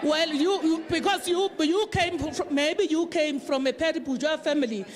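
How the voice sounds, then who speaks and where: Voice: high-pitched, normal speed. A political representative with a South African accent speaking emphatically and combatively in a public debate. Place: large public town hall event. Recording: crowd noise at live event.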